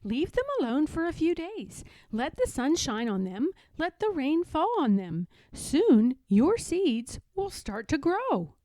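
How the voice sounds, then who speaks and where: Woman voicing a high-pitched, helpful storybook frog. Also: big pitch swings, slow pace, few pauses, dark tone, no echo, heavily acted. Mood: reassuring. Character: helpful, knowing male frog, kindly storybook friend.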